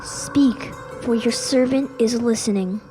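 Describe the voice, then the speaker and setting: An earnest young boy who serves in a temple answers in a high, eager voice, as a woman acts him out. The scene is from a dramatized audio Bible with soft music underneath. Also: slow pace.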